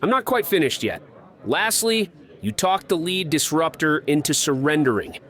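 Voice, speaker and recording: A man's voice: fairly high pitch, lively intonation, moderate pace, a bit bright. A man playing a wry, officious head of security in an audio drama, recounting things in a dry, methodical way. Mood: wry, incredulous.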